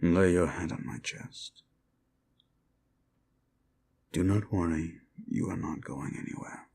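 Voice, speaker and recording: A man playing a sinister, mocking demon in a roleplay ASMR style, slow and theatrical, with a gravelly voice and condescending, drawn-out words. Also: deep voice, a little mellow, full character voice. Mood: sly, taunting. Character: sinister mocking male demon, condescending soul-hungry tempter. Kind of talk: roleplay comfort ASMR acting.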